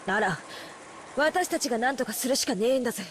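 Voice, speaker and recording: A woman playing a brave, rough-talking teenage girl with blunt tomboyish phrasing in a Japanese visual novel. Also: a little high, animated, racing, background noise, natural voice. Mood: defiant. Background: game music.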